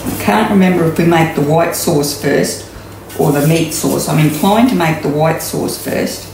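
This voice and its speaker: A woman's voice: deep voice, normal speed, creaky, darkish tone, a little hiss or hum. A woman in her sixties with an Australian accent, chatting through a home cooking demonstration with many ums and restarts, recorded in her kitchen on a camera mic a few metres away. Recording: echoey kitchen room.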